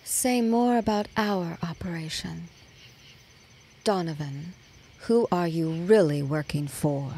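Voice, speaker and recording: A cool, guarded young lady of 1916 in an audio drama. Her voice sits slightly low and unhurried, with terse, probing phrases and a little noise in the recording. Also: mellow. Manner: terse, measured. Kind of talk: period audio drama acting.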